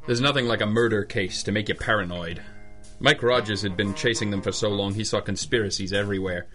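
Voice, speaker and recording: Hard-boiled male detective, forceful tone, lowish pitch, dry sarcasm, clipped radio-drama delivery, background music. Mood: sardonic. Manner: sardonic, clipped. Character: hard-boiled, wisecracking male private eye. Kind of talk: old-school radio detective narration and dialogue. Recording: music under the voice.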